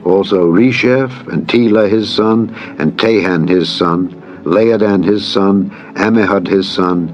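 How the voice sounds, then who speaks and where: A man narrating an audio Bible, reading solemnly in a measured, formal, declamatory way. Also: deep voice, dull audio. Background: background music.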